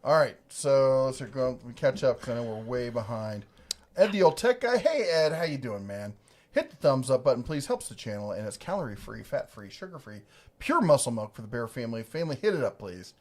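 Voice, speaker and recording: A man's voice: medium pitch, sing-song, a little fast. A man casually chatting on a livestream, reading viewer comments in a laid-back, rambling, jokey way. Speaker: livestream co-host.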